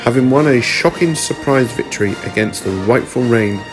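A man's voice: medium pitch, moderate pace. A man reading scripted narration for a space fantasy story, as its narrator. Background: music under the voice.

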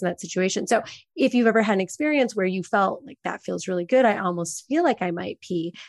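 A woman's voice: medium pitch, dry recording. Woman coach explaining, dry sound. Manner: fluent, instructive, emphatic explaining. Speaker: sex and intimacy coach, guest. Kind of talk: explanatory interview answers.

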